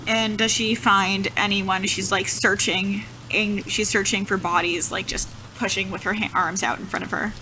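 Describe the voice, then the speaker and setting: A woman's voice: medium pitch, level tone, normal speed, few pauses, sharp tone, a little hiss or hum, rough recording. A woman playing a tabletop roleplaying game, improvising casually and thinking aloud in questions. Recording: music clearly playing behind.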